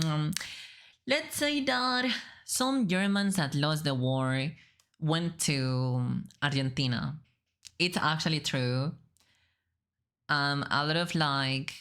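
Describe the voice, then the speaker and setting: A woman streamer from Spain talks in English with a Spanish accent, chatty and teasing as she reacts. Her voice sits low for a woman, and she speaks at an unhurried pace into her streaming mic. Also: fairly bright tone. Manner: chatty, teasing, laughs often. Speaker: VTuber streamer. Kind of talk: casual reaction commentary.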